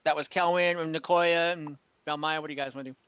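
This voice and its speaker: A game master narrating an online tabletop game casually, in a halting, improvised way. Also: mid-range voice, fairly even intonation, clear, resonant tone, dry recording. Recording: dull, dry online call audio.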